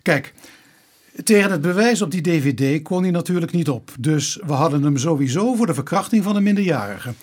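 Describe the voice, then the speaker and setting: Husky, high-voiced police investigator. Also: male voice, darkish tone. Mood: confident, explaining. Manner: explanatory, matter-of-fact. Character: matter-of-fact male police investigator. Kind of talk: radio drama acting.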